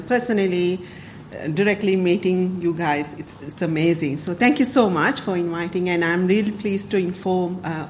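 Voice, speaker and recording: A woman's voice: on the low side, slight background noise. A woman from Sri Lanka giving a public talk to a club, speaking hesitantly with many fillers and the odd laugh. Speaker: community council speaker.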